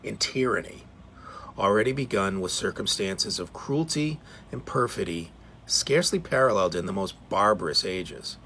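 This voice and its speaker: A man with a lowish voice reads a historical document aloud. There is slight ambient noise in the recording. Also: animated, normal speed, sharp tone. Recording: faint background noise.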